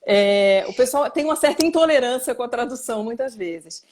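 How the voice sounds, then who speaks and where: A woman speaking with a Brazilian accent and a slightly high voice. She is a guest on a live online interview, patiently explaining her profession as an interpreter and journalist. She often restarts a thought and pins it down with an everyday example. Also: expressive, brisk, a little mellow. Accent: Brazilian Portuguese. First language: Portuguese.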